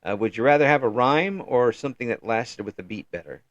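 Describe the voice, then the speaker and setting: A middle-aged man hosting an interview in a casual, chatty way, often restarting sentences and answering with quick yeses. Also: medium pitch, animated, no echo, lo-fi sound. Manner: chatty, frequent restarts and affirming "yeah"s. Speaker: interview host.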